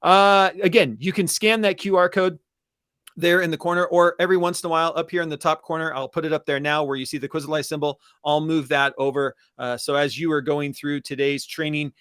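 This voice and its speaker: A man's voice: on the high side, fairly even intonation, fairly quick, a bit bright, smooth, no room sound, studio quality. A man co-hosting an educational webinar in a casual presenting style, talking directly to listeners. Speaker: podcast and webinar co-host.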